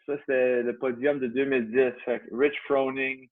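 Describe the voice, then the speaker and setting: A man's voice: mid-range voice, little pitch movement, moderate pace. A man in his thirties with a Canadian French accent, a guest in a casual interview, talking hesitantly with many restarts. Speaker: guest, CrossFit gym owner. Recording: tinny phone-like line.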